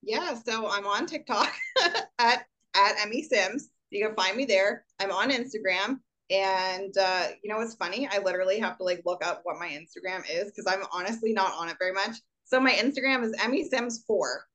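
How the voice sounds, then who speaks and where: A woman's voice: medium pitch, normal speed, fairly bright tone. Laid-back woman in her twenties, podcast guest. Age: 20s. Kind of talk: casual interview chat.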